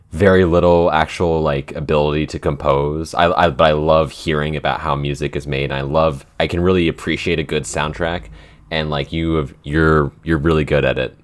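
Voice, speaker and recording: A man co-hosting a casual Q&A chat, speaking in an enthusiastic, informal and sweary way. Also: very deep voice. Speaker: podcast co-host and show creator.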